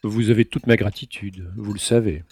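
A man's voice: on the low side, fairly even intonation, normal speed, a little mellow, slightly rough, dry recording. A man playing a courteous but sly finance minister in an audio drama, formal and politely insistent. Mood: grateful, formal.

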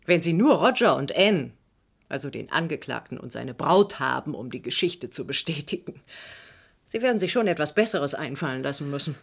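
Proud, defensive wife with animated voice. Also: female voice, low-pitched, normal speed, creaky, slightly rough, full character voice. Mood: cool, scornful. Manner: sharp-tongued, dramatic. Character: proud, defensive wife shielding her husband. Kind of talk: vintage German crime radio play acting.

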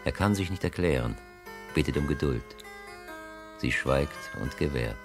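A man narrating a literary radio play as a solemn, measured storyteller. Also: extremely low pitch, lively intonation, over music, heavily acted. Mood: measured, dramatic. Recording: dry studio sound.

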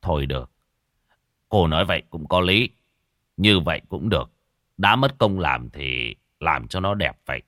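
A man's voice: low-pitched, lively intonation, moderate pace, pauses often, bright, forceful tone, no room sound, clean studio sound, voice pushed down. A man voicing an agreeable old village father who hems and hesitates as he talks. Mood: hesitant.

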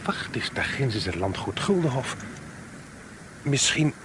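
An actor playing an educated, reasonable, talkative gentleman in a Dutch radio play. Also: mid-range voice, big pitch swings, a little slow. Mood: musing, dismayed. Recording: noisy old radio play recording.

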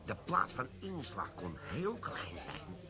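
An actor playing an older army sergeant who defuses bombs, in a radio play, telling war stories and giving brisk orders. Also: male voice, lowish voice, wildly swooping pitch, a crowd in the background, heavily acted. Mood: storytelling. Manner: storytelling, then brisk and commanding. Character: gruff older male army bomb sergeant.

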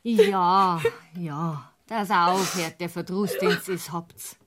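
A woman's voice: on the low side, slow pace, background noise. An actress playing a blunt, suspicious village farm woman with a Bavarian accent in a German radio crime drama. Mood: weary, blunt. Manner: plain-spoken, probing.

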